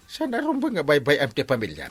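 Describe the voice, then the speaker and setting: A man's voice: a little high, huge pitch swings, fast talker, slightly rough, faint music behind, full character voice. A man with an Ilocano accent playing a fussy village captain who scolds and advises in a radio comedy drama. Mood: lecturing. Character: male village captain, fussy husband, scolding but helpful.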